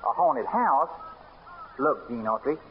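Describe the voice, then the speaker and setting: An actor playing a slow-witted, excitable cowboy sidekick, folksy and comically grumbling, in old radio style. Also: male voice, medium pitch. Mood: grumbling, puzzled. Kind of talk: 1940s-50s comic radio acting. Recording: dull, noisy old radio recording.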